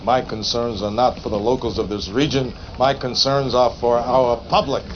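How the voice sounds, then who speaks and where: An actor playing a cold, self-serving political director in a game cutscene, speaking in a deliberate, imperious way. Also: male voice, mid-range voice, steady tone, lightly acted. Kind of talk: dramatic game cutscene acting. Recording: dull, clearly noisy game audio.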